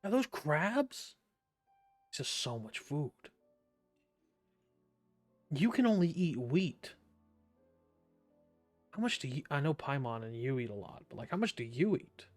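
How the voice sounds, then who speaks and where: A woman's voice: sits low, big pitch swings, measured pace, long pauses, soft background music. A woman streaming a video game, making casual sarcastic comments over it. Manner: sarcastic, joking asides to the game.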